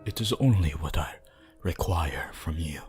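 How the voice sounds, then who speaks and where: A man playing a weakened, bloodthirsty vampire in an ASMR roleplay, pleading and menacing in a theatrical, archaic way, with a husky voice that often drops to a whisper. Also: extremely low pitch, animated, darkish tone, soft background music. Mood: weak pleading. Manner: slow, halting, archaic and dramatic delivery.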